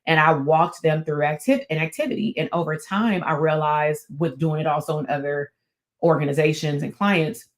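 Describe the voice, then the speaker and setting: A woman with a raspy, strong voice, talking casually to camera as a therapist and business coach, with frequent fillers and restarts. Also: sits low, moderate pace. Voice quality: raspy and strong, not soft. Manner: conversational, with many fillers and restarts. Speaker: therapist and business coach hosting her channel. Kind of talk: casual teaching talk to camera.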